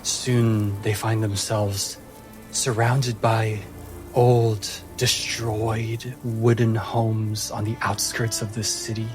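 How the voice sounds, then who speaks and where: A man narrating a tabletop fantasy game as game master, in deliberate, atmospheric phrases broken by pauses. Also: lowish voice, little pitch movement. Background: music.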